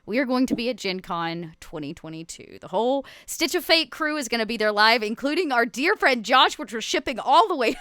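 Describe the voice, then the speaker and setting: High, clear-voiced woman, chatty and playful. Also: expressive, fairly quick, few pauses, fairly bright tone, no room sound, clean studio sound. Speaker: cast member making announcements. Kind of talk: casual live stream announcement.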